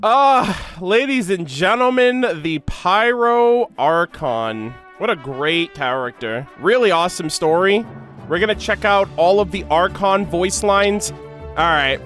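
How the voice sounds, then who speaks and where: A man giving casual, slangy reaction commentary as an excitable gaming video host. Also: sits high, slow pace, soft background music.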